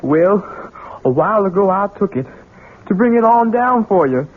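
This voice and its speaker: An actor playing a simple-minded, childlike grown son in an American radio drama, speaking in a halting, pleading rustic way. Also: male voice, high voice, lively intonation, slight background noise. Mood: anxious. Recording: tinny band-limited old radio broadcast.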